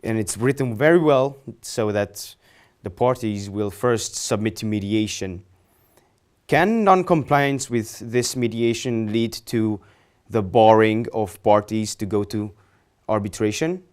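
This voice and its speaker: A man's voice: fairly low pitch, measured pace, darkish tone, clear, resonant tone. Young man asking hesitant questions. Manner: hesitant, polite, self-correcting questions. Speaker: interview host. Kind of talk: studio interview questions. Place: studio set, indoors.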